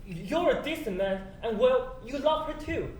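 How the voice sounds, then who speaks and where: Man acting a high, pleading husband. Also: lively intonation, unhurried. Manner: earnest, pleading, emotional. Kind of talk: stage play acting. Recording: echoey stage, faint background noise.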